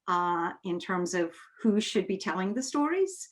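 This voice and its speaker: Lower-pitched woman, thoughtful interviewer. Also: very animated, unhurried. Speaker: interviewer, theatre artistic director. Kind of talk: conversational interview.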